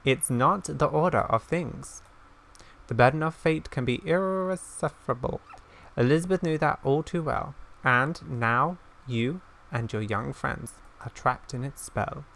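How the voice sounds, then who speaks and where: A man reading aloud the part of a kindly old village woman in a fantasy game, gently and solemnly, with halting delivery. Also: medium pitch, creaky, rough recording. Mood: sorrowful, kind.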